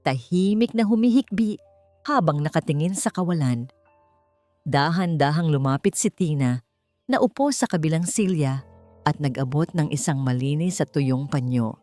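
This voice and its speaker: A woman's voice: low voice, expressive, moderate pace, mellow, dry recording. A woman narrator reading a scripted dramatic story in a storytelling style. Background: music.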